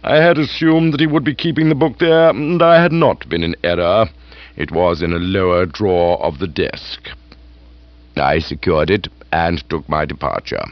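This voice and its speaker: A man reading aloud voices a calm, deferential male valet who speaks in a measured, formal way. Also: sits low, dry recording, voice pushed down. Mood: explaining. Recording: dull, dry recording.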